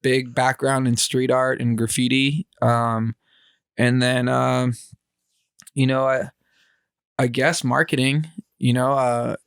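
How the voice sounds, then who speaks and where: A man's voice: medium pitch, flat delivery, takes it slowly, creaky, pauses often, a bit bright, smooth, no echo, studio quality. A man, chatting casually as a podcast co-host in laid-back, slangy talk. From grew up in Los Angeles area, California, born in Israel.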